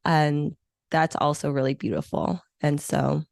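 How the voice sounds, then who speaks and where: A woman's voice: deep voice, measured pace, vocal fry, a little mellow, dry recording, clean studio sound. A young adult woman from Hong Kong, English her third language, chatting enthusiastically as a podcast guest with many restarts. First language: not English; English is her third language. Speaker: guest, meeting planner. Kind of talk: podcast interview.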